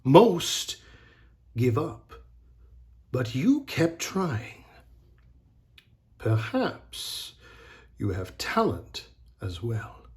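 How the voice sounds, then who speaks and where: A man reading aloud puts on a strict storybook high-wire master. He instructs gravely and sternly, in slow, measured phrases with strong swings in pitch. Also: wildly swooping pitch, a little mellow, full character voice. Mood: grave, encouraging.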